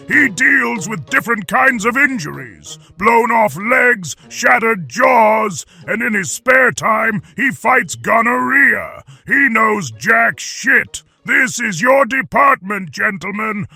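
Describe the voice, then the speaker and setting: An actor playing a crude, blustering army colonel in an animated satire, barking his words with profane emphasis. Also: high-pitched, creaky, husky, full character voice. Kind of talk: animated noir satire voice acting.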